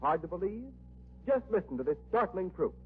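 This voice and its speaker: Male vintage radio announcer, emphatic, dramatic, high voice, faint noise. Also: sing-song, brisk. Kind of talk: 1930s radio advertising and narration. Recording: tinny old radio recording, faint noise.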